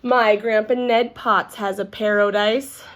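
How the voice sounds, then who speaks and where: A woman's voice: mid-range voice, steady tone, measured pace, natural voice. A woman voicing an eager little schoolboy who overshares in a blunt, matter-of-fact way in a storybook. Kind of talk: children's storybook read-aloud.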